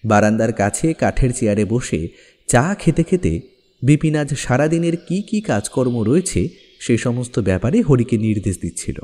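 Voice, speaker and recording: A man's voice: medium pitch, animated, moderate pace, dark tone. A man narrating a scripted ghost story in a dramatic, suspenseful manner. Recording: long reverb over background music. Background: music.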